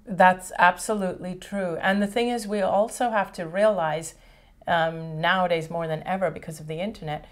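A woman's voice: fairly low pitch, fairly even intonation, moderate pace. A middle-aged woman from Hong Kong hosting a casual chat indoors, talking easily, searching for words now and then and laughing readily. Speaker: host. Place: indoor studio set with a painted brick wall.

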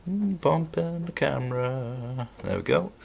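Man, congested from a cold, gravelly, enthusiastic toy reviewer, indoors, camera mic. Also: mid-range voice, unhurried, crowd noise. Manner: enthusiastic, chatty. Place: indoors at a table, hands on camera.

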